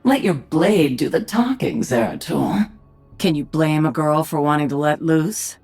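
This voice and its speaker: A woman's voice: deep voice, measured pace, darkish tone, soft background music. A woman voicing a cocky, sardonic assassin and alien queen in a video game, dry and taunting. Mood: cocky teasing.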